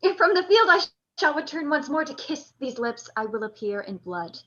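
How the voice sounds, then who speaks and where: Woman acting proud reckless general, high bright voice, impassioned Shakespearean declamation, radio play, dull lo-fi recording. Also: very animated, moderate pace. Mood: rallying, proud. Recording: dull lo-fi audio.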